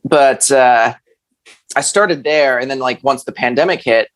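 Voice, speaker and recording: Male podcast guest, medium-pitched, conversational and self-effacing, feeling his way through sentences in relaxed chat. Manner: halting, self-deprecating, conversational. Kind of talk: casual chat.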